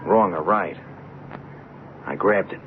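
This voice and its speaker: A young small-time hustler in a radio drama, speaking low in a hesitant, streetwise way. He sounds weary and defensive as he justifies himself. The recording is a narrow, muffled old broadcast with clear noise. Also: takes it slowly. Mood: defensive, rueful. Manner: colloquial, hesitant, self-justifying. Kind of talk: radio drama acting, first-person confession.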